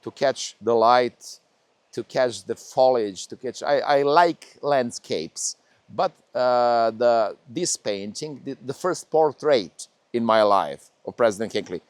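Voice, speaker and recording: A man from Serbia speaking English with a Serbian accent, a painter being interviewed, talking haltingly with many pauses and fillers. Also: medium pitch, animated, clear, resonant tone, no echo. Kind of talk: interview conversation.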